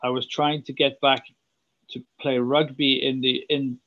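Gravelly young Welsh man. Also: medium pitch, measured pace, dry recording. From Wales. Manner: relaxed, rambling storytelling with fillers. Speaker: student guest. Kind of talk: casual round-table chat. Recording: dull lo-fi line, dry.

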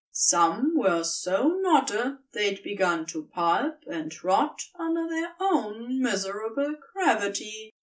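Female fiction narrator, slow and measured delivery with animated intonation, miked from a little distance. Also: a little high. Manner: measured, expressive storytelling. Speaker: podfic reader. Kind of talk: scripted story narration.

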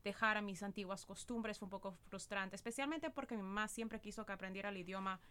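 A woman's voice: mid-range voice, quick pace, sharp tone, clean tone. A young woman with an Ecuadorian Spanish accent, co-hosting a podcast and talking earnestly and reflectively about learning a language. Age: young adult. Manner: earnest, reflective, laughs easily. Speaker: podcast co-host, English teacher. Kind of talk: casual podcast chat.

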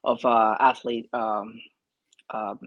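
Peruvian-accented man, halting and casual. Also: mid-range voice, level tone, fairly bright tone, muffled recording. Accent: Peruvian Spanish. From Peru. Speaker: jockey, interview guest. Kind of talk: casual interview answers.

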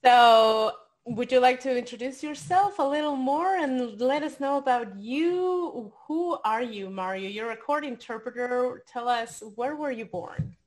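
A woman's voice: on the high side, animated, unhurried. A woman co-hosting an interview podcast, reading an opening and welcoming and questioning a guest directly. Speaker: podcast co-host.

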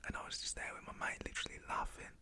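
A man's voice: racing, bright, forceful tone. A young British man telling a personal story in a casual, slangy way, whispered in an ASMR style.

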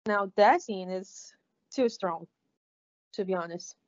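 A woman with a Brazilian Portuguese accent, co-hosting a podcast in a halting, casual way, with many fillers and restarts. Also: mid-range voice. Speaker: podcast co-host. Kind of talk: casual recap chat. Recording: slightly dull lo-fi audio.

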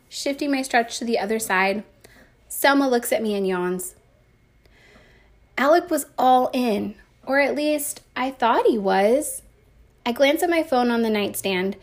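A woman with a somewhat high voice narrates a first-person story. She reads expressively, like a teacher, with deliberate emphasis on key phrases. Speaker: teacher reading a book aloud.

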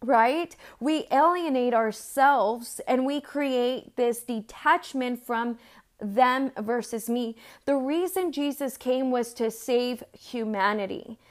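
A woman from Mexico in her thirties or forties giving an earnest, conversational sermon, telling personal stories with frequent fillers and restarts. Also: fairly high pitch. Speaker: church speaker.

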